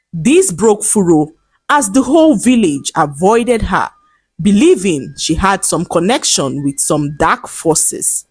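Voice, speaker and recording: A woman tells a folktale at an unhurried pace, her breathy voice rising and falling with lively pitch. The sound is close and dry. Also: no echo. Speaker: storyteller. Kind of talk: scripted folktale narration.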